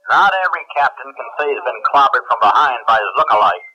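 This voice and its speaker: A man's voice: mid-range voice, quick pace, sharp tone, muffled recording. A man acting a wry, sarcastic stranded space captain, heard over a radio link in a 1970s drama. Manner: sardonic, punchy. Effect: radio transmission.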